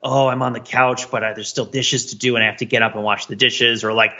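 Middle-aged American male podcast co-host, bright forceful voice, rambling and self-questioning, on slightly muffled audio. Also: medium pitch, fairly even intonation, fast talker. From United States. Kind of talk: casual podcast chat.

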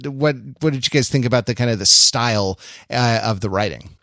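A man hosting a podcast, talking casually and analytically, halting and digressive as he thinks aloud. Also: medium pitch, sharp tone, no echo, clean studio sound. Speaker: podcast host. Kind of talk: casual analytical discussion of a TV show.

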